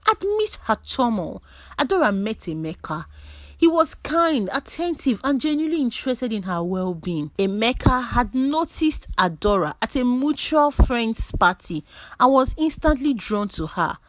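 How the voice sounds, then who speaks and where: A woman's voice: fairly high pitch, sing-song, measured pace, no echo. A woman reading a scripted dramatic story as a storyteller.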